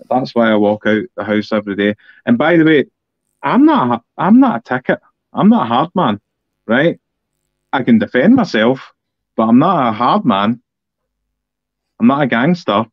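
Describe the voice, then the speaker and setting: A Scottish man talks in a blunt, emphatic way and hammers his points home by repeating them. His voice sits at a middling pitch. The audio is dull and lo-fi, with a dry, close sound. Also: no echo. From Scotland. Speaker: political podcast co-host. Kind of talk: casual political discussion.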